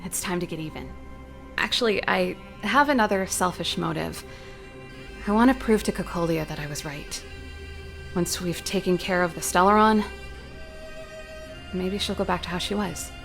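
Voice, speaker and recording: A woman's voice: lowish voice, moderate pace, vocal fry, pauses often, a bit bright, soft background music. A woman voice-acting a playful, candid and theatrical ex-soldier in a video game. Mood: resolute, candid. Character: spirited, teasing woman ex-soldier with a showy streak.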